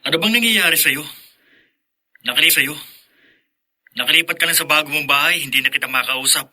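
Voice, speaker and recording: A man voicing a greedy accomplice who plots to take a rich man's money, speaking quickly and conspiratorially in a radio drama. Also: a little high, pushed and bright, voice pushed down. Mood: scheming. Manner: conspiratorial, hurried.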